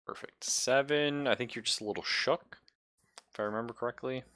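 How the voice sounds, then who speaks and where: A man acting as game master, improvising descriptions and chatting informally, with a halting, casual delivery. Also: mid-range voice, noisy background. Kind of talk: improvised tabletop game narration and casual chat.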